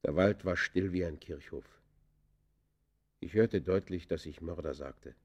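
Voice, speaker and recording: A low-voiced, haunted confessing murderer. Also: male voice, slightly rough. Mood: haunted, brooding. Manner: literary, deliberate confession, swinging from hushed to vehement. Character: confessing male murderer, brooding, defiant, haunted. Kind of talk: German radio play acting.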